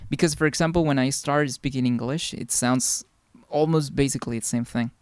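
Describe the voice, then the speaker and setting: Smooth-voiced young man chatting with viewers. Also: medium pitch, steady tone, normal speed, no echo, clean studio sound. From Peru. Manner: rambling, reads viewer comments aloud and answers them. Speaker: game streamer. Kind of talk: casual chat with viewers during a game stream.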